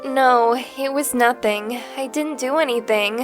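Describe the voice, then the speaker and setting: Woman voicing a high, sorrowful pony princess. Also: measured pace, music in the background, close to an everyday voice. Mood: modest, overwhelmed. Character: sorrowful pony princess trapped in a book, gentle and pleading. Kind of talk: fan audio drama voice acting.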